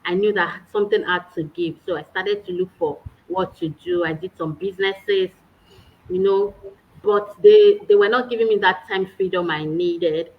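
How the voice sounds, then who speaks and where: A woman's voice: lowish voice, moderate pace, muffled recording. Woman telling her story earnestly. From Nigeria. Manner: earnest, warm storytelling with small laughs. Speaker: guest. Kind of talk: personal story in casual chat.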